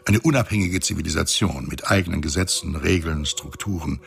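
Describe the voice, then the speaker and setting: A very low, creaky male voice for a glib reanimator in a future space colony. He explains things in a wry, flippant, chatty way, in the manner of a science fiction radio play. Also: fairly quick, fairly bright tone, exaggerated voice. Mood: proud, explaining. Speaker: actor in a radio drama.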